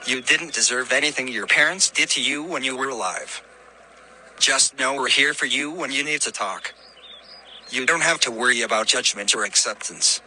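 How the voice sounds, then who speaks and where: An earnest, determined male hero in an audio drama, played by an amateur actor. His voice is mid-pitched and sharply bright, with faint noise behind it. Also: normal speed, rough recording. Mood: earnest, soothing. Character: earnest, determined young man trying to redeem a demon.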